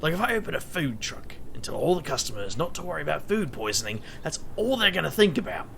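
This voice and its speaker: A man's voice: fairly high pitch, big pitch swings, quick pace, vocal fry, fairly bright tone, a little hiss or hum, full character voice. A man playing an anxious, caring, easily exasperated young man in an audio drama. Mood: concerned.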